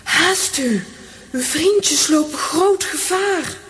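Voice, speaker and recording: A woman's voice: sits high, animated, slow pace, background noise. A woman playing a noble, devoted playing-card queen in a children's audio play, speaking formally in old-fashioned words. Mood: grave, urgent. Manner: formal, stately, archaic diction.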